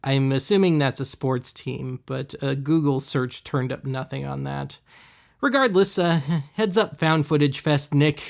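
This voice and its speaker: A man hosting a web series, giving casual, deadpan, wry voice-over commentary on thrift finds. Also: on the high side, creaky. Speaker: host of a web video series.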